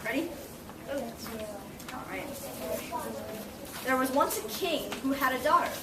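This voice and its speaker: High-voiced young woman narrating, distant and echoey. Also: expressive, slow pace. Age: young adult. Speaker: puppet show narrator. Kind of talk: storybook narration for children. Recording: echoey room, distant mic, noisy background.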